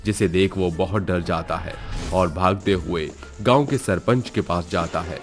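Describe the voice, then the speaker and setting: A man's voice: low-pitched, unhurried, soft background music. A man narrating a scripted horror story in a dramatic storytelling style.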